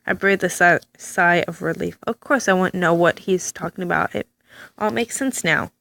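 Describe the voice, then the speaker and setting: A woman reading a story game aloud, with animated reactions and stammered asides. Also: on the low side, normal speed, vocal fry. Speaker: let's play reader.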